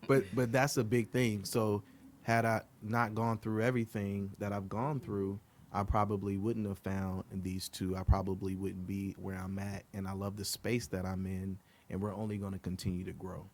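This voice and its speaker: A man from Liberia, a guest on a podcast, talking in a relaxed, earnest way with many ums. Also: on the low side, normal speed. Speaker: guest, outdoor group co-founder. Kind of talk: casual podcast interview.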